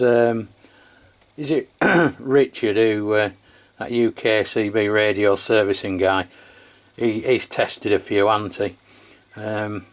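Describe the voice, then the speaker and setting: A man with an English accent chatting casually and informally as a radio hobbyist, in a matter-of-fact way. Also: medium pitch. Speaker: CB radio hobbyist filming a radio test. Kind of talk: casual two-way radio chat.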